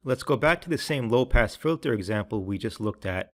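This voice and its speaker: A man reading scripted tutorial narration in a measured, instructional way, with each phrase clearly enunciated. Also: mid-range voice, animated, a bit bright.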